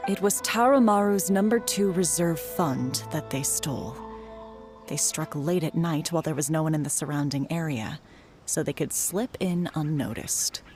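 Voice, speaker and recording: Lowish-voiced, caring tea house worker. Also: female voice, a little mellow. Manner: polite, earnest. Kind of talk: video game voice acting.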